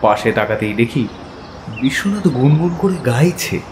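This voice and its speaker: A man's voice: medium pitch, very animated, normal speed, background noise. A man narrating a scripted detective audio story in the first person, in a dramatic storytelling style. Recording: long reverb, music behind. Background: music.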